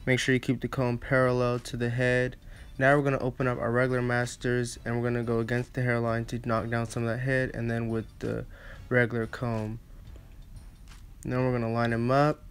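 A man's voice: mid-range voice, completely flat delivery, fairly quick, clear, resonant tone. A man narrating a casual step-by-step barber tutorial, with hesitant, repetitive phrasing.

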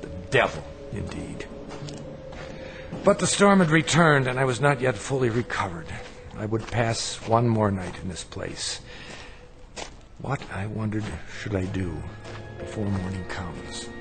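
A man's voice: lowish voice, lively intonation, unhurried, vocal fry, long pauses. An actor playing an earnest, uneasy, skeptical man in a radio drama, in dialogue and first-person narration. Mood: brooding. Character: uneasy, skeptical male traveler telling his eerie tale. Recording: music bed behind the voice.

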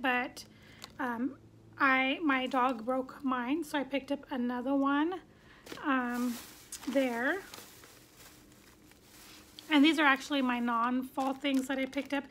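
A woman's voice: sits high, fairly even intonation, slow, pauses often, a bit bright, a little hiss or hum. A woman in her thirties sitting on her bedroom floor, chatting casually through a shopping haul with lots of "um" fillers. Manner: chatty, many "um" fillers, upbeat. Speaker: vlogger. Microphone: phone camera at arm's length.

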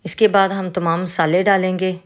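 A woman with a Pakistani Urdu accent walks through a home recipe in a calm, instructive way. Her voice sits a little low, and she talks like a cooking host guiding viewers step by step. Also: normal speed. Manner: calm, instructive, with religious greetings. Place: home kitchen.

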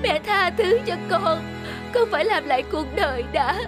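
An actor playing a remorseful young prodigal son in a melodramatic cartoon, wailing and pleading through tears. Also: male voice, extremely high pitch, animated, moderate pace, over music. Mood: weeping remorse. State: crying.

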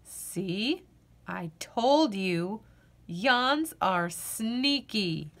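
A woman reading a children's picture book aloud, playful and expressive, acting out the yawns as she goes. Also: medium pitch, very slow.

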